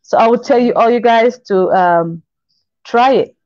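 A young woman from Congo speaks in earnest, halting, encouraging English. Her voice is mellow. The call audio is dry and a bit dull, recorded in a kitchen. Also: on the high side, no echo. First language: not English. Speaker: guest. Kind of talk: video call interview. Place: kitchen indoors. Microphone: video call.

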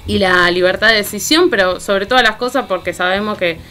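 Argentine woman doing a radio column, mid pitched voice, bit of music behind. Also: fairly quick, bright, forceful tone. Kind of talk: radio discussion, reading out and commenting.

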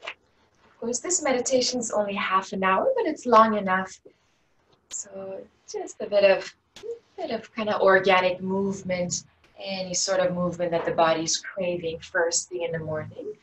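A woman teaching a guided meditation in a gentle, unhurried, inviting way. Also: medium pitch. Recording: distant mic with faint background noise.

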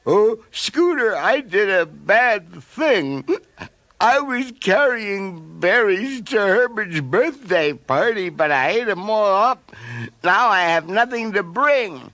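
A man's voice: mid-range voice, very animated, takes it slowly, no room sound. A man voicing a roly-poly little boy bear in a storybook, whiny and pleading. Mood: upset.

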